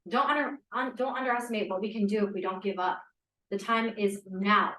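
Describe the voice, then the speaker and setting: A woman's voice: mid-range voice, fairly even intonation, a little fast, fairly bright tone, close to an everyday voice. A woman acting as a level-headed, encouraging 1940s college student in a script read-through. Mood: rousing. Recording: echoey room.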